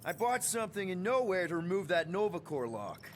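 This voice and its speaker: An actor playing an upbeat, awkward young leader of a space crew in a video game, talking in a hesitant, joking, rambling way. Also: male voice, sits high, sing-song, a little fast, slight background noise. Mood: sheepish, upbeat. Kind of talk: naturalistic video game acting.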